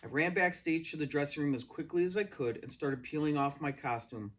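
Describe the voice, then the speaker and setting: A man reading a story aloud as an expressive narrator. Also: on the high side, a little fast. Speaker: read-aloud reader.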